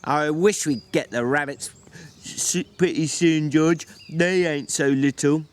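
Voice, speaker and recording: A man acts out a big, simple-minded fellow from a dramatized novel. He talks slowly and haltingly, with a timid, childlike pleading in his raised voice. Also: fairly high pitch. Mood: dejected. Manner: slow, halting, dejected pleading. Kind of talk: dramatic audiobook reading.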